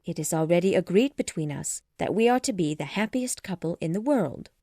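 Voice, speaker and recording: Woman acting an earnest, spirited young woman, lively intonation. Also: lowish voice, a little fast, no room sound, clean studio sound. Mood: happy, sincere.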